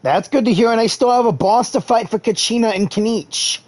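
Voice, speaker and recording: A man's voice: extremely high pitch, brisk. A man streaming a game live, reading the dialogue aloud with casual asides. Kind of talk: live gameplay commentary.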